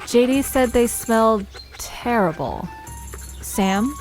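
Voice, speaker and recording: An inquisitive, friendly young woman, played by an actress in a conversational audio drama. Her voice sits fairly high and she speaks slowly and expressively, with faint music underneath. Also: expressive, darkish tone. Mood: curious. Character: Alina, curious, friendly young woman visiting a park.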